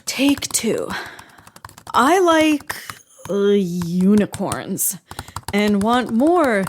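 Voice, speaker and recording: A woman's voice: medium pitch, drawn-out words, a little hiss or hum. A woman playing a sarcastic, mischievous hacker agent with a snarky manner in a comedy audio drama. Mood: frustrated, sarcastic. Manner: snarky, deadpan quips.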